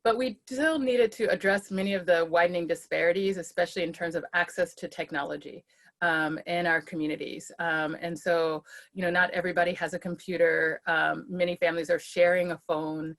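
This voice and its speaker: A woman with a slightly low voice gives an earnest account on a webinar video call. She speaks steadily as a behavioral health leader and fills her pauses with ums and small restarts. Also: steady tone, a bit bright. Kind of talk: webinar panel talk.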